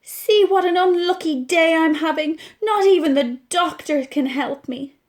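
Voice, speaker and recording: A woman's voice: very high voice, measured pace, pitched above the performer's own voice. A woman with an Irish accent voicing an anxious, gloomy male monkey who complains in a whiny, fretful way.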